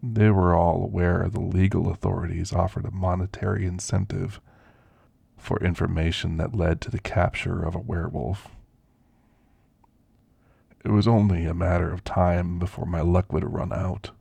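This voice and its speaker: Man acting a deep, gravelly old werewolf. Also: flat delivery, warm tone, no room sound, full character voice. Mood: wistful, reflective. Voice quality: gravelly, husky. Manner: slow, understated, reminiscing storyteller. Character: elderly male werewolf, weathered, wry, reflective, scrappy. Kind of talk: ASMR roleplay monologue. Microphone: close, dry microphone.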